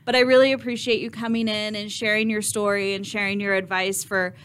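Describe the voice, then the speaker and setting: A woman's voice: mid-range voice, little pitch movement, fairly quick, a bit bright, clear, resonant tone. A woman hosting a podcast interview in a studio, encouraging her guest with frequent short replies. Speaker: podcast host.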